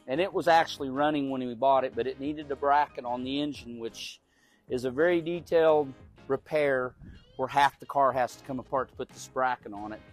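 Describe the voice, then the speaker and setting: A middle-aged man from Oklahoma giving a casual, folksy tour of the cars in his large garage, with an unhurried manner and frequent small restarts. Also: on the high side. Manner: folksy, unhurried, repeats words while thinking. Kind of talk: casual show-and-tell.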